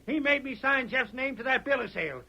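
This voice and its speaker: On a muffled vintage radio recording, a shifty henchman rattles out accusations. His voice is very high, sharp and strained, with excitable rustic grammar. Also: a little fast, gravelly, breathy edge, heavily acted. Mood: frantic confessing. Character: shifty, excitable outlaw henchman, quick to blame. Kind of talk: 1940s radio western acting.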